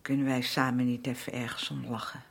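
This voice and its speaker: An actress playing a weary, aggrieved working-class wife in a radio drama, middle-aged, with a colloquial, complaining way of talking. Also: female voice, rumbling low, flat delivery, a little fast, creaky, breathy edge, full character voice. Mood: conspiratorial. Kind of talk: naturalistic radio drama acting.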